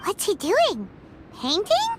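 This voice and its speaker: A woman's voice: extremely high pitch, wildly swooping pitch, slow pace, noisy background, full character voice. A woman voicing a tiny, childlike female fairy companion in a video game, cheeky, whiny and exclamatory. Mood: curious. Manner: refers to self in third person, exclamatory. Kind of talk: video game character voice acting. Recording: loud background music. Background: music.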